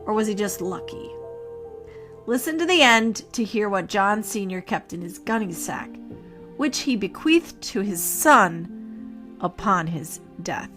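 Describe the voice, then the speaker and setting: A woman podcast host telling a scripted ghost story in a theatrical, measured way with dramatic pauses. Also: medium pitch, animated, fairly bright tone. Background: music.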